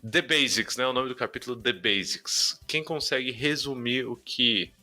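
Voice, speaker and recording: Male Brazilian podcast host, very bright projected tone, casual and slangy, faint music bed. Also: mid-range voice, normal speed. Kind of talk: casual chat. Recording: music behind the voice.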